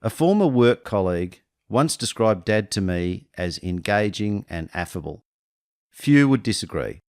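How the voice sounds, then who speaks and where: A low-pitched man reads a prepared family tribute in a dry, close recording. He paces himself with care, setting out each item slowly and evenly. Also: sing-song, darkish tone, no room sound. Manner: measured, listing slowly. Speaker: narrator, son paying tribute. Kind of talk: scripted narration.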